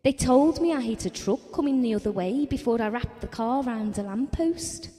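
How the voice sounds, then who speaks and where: A woman's voice: fairly high pitch, expressive, normal speed, warm tone, natural voice. An actress playing a blunt, bitter wife and mother, confiding her memories in an audio drama. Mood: subdued. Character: Ellen, wife and mother recalling a family tragedy, bitter and blunt. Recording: reverberant hall-like sound.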